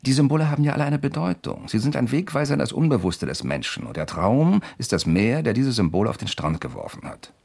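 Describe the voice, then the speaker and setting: Husky, animated investigator. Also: male voice, medium pitch, full character voice. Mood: mysterious, coaxing. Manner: insistent, questioning, deliberate. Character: persistent amateur investigator, male, probing with sly courtesy. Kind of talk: German radio crime drama acting.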